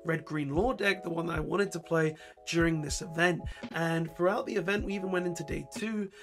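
Hoarse young man chatting to camera. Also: a little high, fairly even intonation, brisk, fairly bright tone. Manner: chatty, laughing, talks straight to camera. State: hoarse, voice mostly gone. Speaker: vlogger. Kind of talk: casual vlog chat. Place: bedroom at home. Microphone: webcam or desk camera, facing camera.

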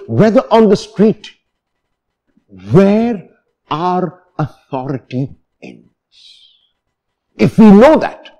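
A man in his sixties, a priest, preaching a homily in a deliberate, emphatic manner with phrases repeated for stress. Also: high-pitched, warm tone. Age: 60s. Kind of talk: homily, expository sermon. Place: church, at the lectern.

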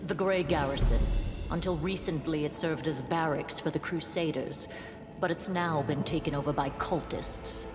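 Actress as grave woman warrior commander, formal, commanding, slightly low pitch, echoey, fantasy game. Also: normal speed, natural voice. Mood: grave, measured. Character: stern, resolute woman commander of crusader warriors. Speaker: military commander. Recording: echoey reverberant hall, game music behind.

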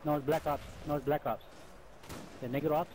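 High-pitched man in noisy game chat. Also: fairly even intonation, a little mellow. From lives in the United States, Mexican. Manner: halting, repetitive short answers. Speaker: online game teammate. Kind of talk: casual chat over game voice chat. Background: video game gunfire.

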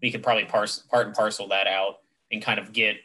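A fairly low but very bright, forward male voice coming through a headset on a video call. A board member thinking aloud in a casual, meandering way. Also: little pitch movement, fairly quick. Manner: casual, rambling.

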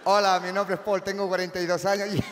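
A man's voice: high-pitched, flat delivery, quick pace, fairly bright tone, slight background noise. A Chilean comedian in his forties performing a live stage sketch in an eager, clumsy comic way. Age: 40s. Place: large outdoor amphitheatre stage. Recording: live festival stage with crowd and hall reverb.

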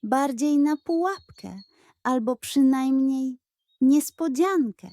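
A woman's voice: sits high, takes it slowly, mellow, dry recording, clean studio sound, voice pushed up. A woman voicing a loyal, eager young male deputy in a bedtime audio story, with a wry, quipping way of talking. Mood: wary.

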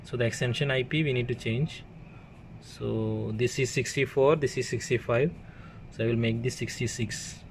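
A man's voice: mid-range voice, steady tone, normal speed. A man giving a hands-on, step-by-step tech demonstration in short, plain instructions, recorded indoors on a handheld phone. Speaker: tech tutorial presenter. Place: indoors at an equipment workbench. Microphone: handheld phone camera mic. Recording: clearly noisy room ambience on handheld recording.

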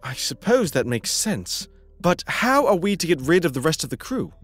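Hesitant fantasy companion, played by a man; lighter pitch, animated, faint music underneath. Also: normal speed. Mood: uneasy. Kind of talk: fantasy audio drama acting.

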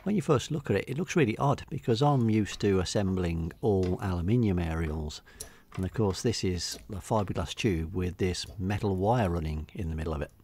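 A middle-aged man with an English accent giving a casual, unscripted voice-over walkthrough, hesitating and pausing often. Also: sits low, warm tone, a little hiss or hum. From England. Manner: hesitant, unscripted delivery with many pauses. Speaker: hobbyist video narrator.

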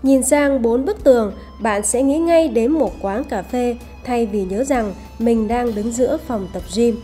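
A woman with a fairly high voice and a very soft, mellow tone narrates a promotional video. She reads from a script, and music plays clearly behind her. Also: measured pace. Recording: voice over background music.

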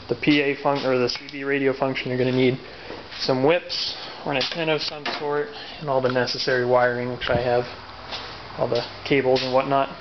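A man giving a casual, unscripted how-to explanation as a DIY presenter, matter-of-fact and step by step, with hesitant pauses. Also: mid-range voice. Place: garage or workshop with machinery. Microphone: handheld camera's built-in mic. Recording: dull lo-fi camera audio, background noise.

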